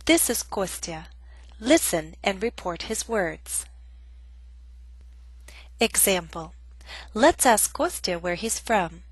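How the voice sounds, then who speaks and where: A woman gives a scripted grammar lesson, speaking in a clear and measured way. Her voice sits at an ordinary middle pitch, recorded close and dry with no room sound. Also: lively intonation, no echo. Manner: clear, measured teaching delivery. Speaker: English teacher.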